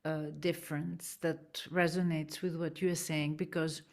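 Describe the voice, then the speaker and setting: A woman's voice: sits low, flat delivery, measured pace. A woman whose first language is Greek, speaking thoughtfully in a class discussion and searching for her words. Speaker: student.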